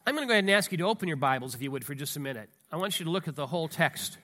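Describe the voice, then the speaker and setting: A high, bright-voiced man gives a sermon with a stuffy nose from a cold. He talks in a folksy, conversational way, full of asides and restarts. He reads scripture aloud with rising emphasis. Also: lively intonation, racing. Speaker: pastor.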